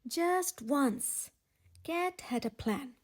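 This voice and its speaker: A woman reading a children's story aloud as a storytime host, expressive, with dramatic emphasis and a breathy voice. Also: high voice, drawn-out words. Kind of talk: animated children's read-aloud narration.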